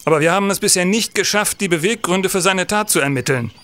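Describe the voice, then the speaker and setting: An actor playing a stern, troubled police senator in a radio play, speaking gravely and emphatically. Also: male voice, high-pitched, fast talker, sharp tone. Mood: angry, commanding. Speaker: actor in an audio drama. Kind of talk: German radio play acting.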